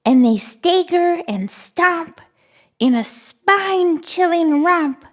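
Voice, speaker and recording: A woman voicing a friendly, excitable little monster who reads picture books to toddlers with gleeful wonder. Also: extremely high pitch, exaggerated voice. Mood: playfully spooky. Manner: gleeful, giggly, breathless wonder, drawn-out words. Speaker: children's read-aloud host. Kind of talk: animated picture-book read-aloud for toddlers.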